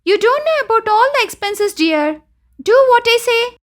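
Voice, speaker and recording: A bossy young wife from an animated moral tale, played by a woman. Her Indian-accented voice is shrill, very high and lively, full of selfish commands. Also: normal speed. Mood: bossy, demanding.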